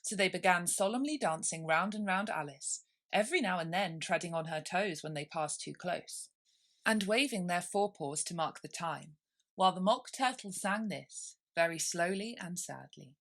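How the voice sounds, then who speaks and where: A woman's voice: fairly low pitch, expressive, moderate pace, fairly bright tone. A woman narrating a children's story expressively, as a storyteller reading a classic tale aloud.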